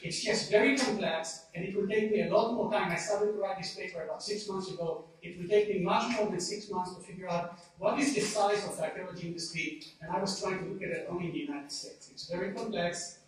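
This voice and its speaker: Israeli man, high voice, echoey hall. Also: a little fast. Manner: explains data with dry self-deprecating asides. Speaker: conference speaker. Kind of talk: conference presentation. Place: conference hall. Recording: echoey hall, speaker far from mic.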